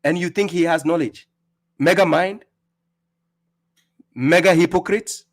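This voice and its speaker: A man's voice: a little high, unhurried. A man hosting a religious livestream, arguing in a mocking, taunting way and stumbling over repeated words. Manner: mocking, taunting, laughs at opponents. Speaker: livestream host. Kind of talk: combative religious livestream rebuttal. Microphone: streaming setup.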